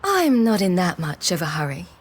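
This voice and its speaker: A woman's voice: on the low side, sing-song, moderate pace, slightly rough. An actress playing a resolute, polite young woman on the run in a video game. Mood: teasing. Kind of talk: video game dialogue acting.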